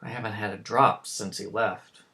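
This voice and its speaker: Man acting proud middle-aged father, stern yet regretful, defensive, halting delivery, mid-pitched, drama dialogue. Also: lively intonation, fairly bright tone.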